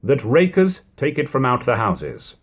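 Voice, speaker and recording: A man reading an old book aloud in a measured, formal manner, in a lo-fi home recording. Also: medium pitch, very animated. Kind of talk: audiobook reading of an old text with brief informal asides.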